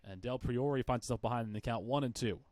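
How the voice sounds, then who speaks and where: A man's voice: medium pitch, fast, darkish tone, dry recording. A man calling a baseball game live as play-by-play commentator.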